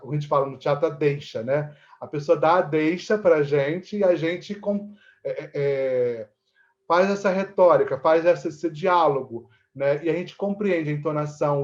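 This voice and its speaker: Brazilian male interviewee, fairly high voice, explanatory and conversational, lo-fi sound. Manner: explanatory, repeatedly seeking agreement with tag questions. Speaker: interviewee, actor and theatre teacher. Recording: dull, lo-fi audio.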